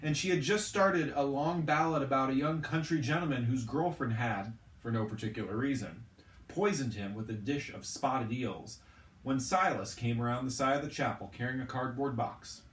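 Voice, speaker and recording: A man reading a novel aloud as a storyteller, with expressive narration. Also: mid-range voice, moderate pace. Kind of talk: expressive audiobook-style narration of a children's novel. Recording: roomy, echoing, distant from the mic.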